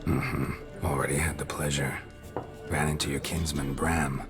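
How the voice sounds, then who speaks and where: An actor playing a stoic, world-weary monster hunter in a video game, speaking tersely and deadpan in a gravelly voice. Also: male voice, a bass voice, unhurried. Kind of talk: video game cinematic acting. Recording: loud music behind the voice. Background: loud game music.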